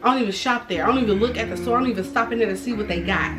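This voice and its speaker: A woman's voice: fairly high pitch, very fast. A woman in her twenties talks candidly in a vlog, blunt and sassy, swearing freely. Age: 20s. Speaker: vlogger. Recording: roomy, mic at a distance, music behind.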